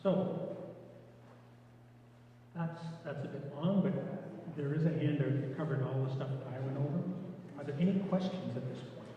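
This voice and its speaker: A soft-spoken man giving an informal training talk to lay readers, relaxed, with frequent restarts. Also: mid-range voice, moderate pace, long pauses, a little hiss or hum. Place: echoey church hall. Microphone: room mic from a distance. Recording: echoey, distant, dull room recording.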